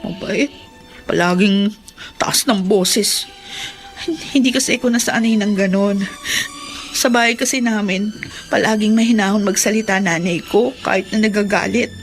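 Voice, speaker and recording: A woman's voice: medium pitch, moderate pace, faint music behind, lightly acted. An actress with a Filipino accent playing a hurt, tearful young wife resentful of her mother-in-law in a radio drama. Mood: sulking, hurt.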